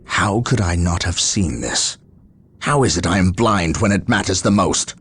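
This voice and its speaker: A man playing a stern, burdened space marine captain in a video game, speaking gravely and formally. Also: low voice, lively intonation, unhurried, fairly bright tone, heavily acted. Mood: brooding. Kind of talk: dramatic video game acting.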